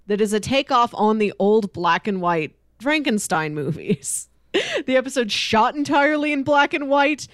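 A woman's voice: fairly high pitch, expressive, normal speed, no echo, studio quality. A woman co-hosting in casual chat, telling things in an informal, chatty way. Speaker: podcast co-host. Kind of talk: casual chat recommending shows.

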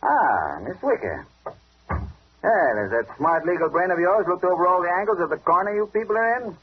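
A crusty, commanding police inspector in a vintage radio mystery. His voice is fairly high and very animated, on a muffled old recording. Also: moderate pace, slightly rough, heavily acted. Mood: satisfied. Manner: brusque, commanding, exclamatory. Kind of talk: 1940s radio acting.

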